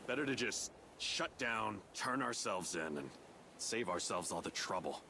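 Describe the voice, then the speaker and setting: Weary, shady guild boss, animated. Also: male voice, lowish voice, measured pace, slight background noise, heavily acted. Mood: resigned. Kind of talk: video game voice acting.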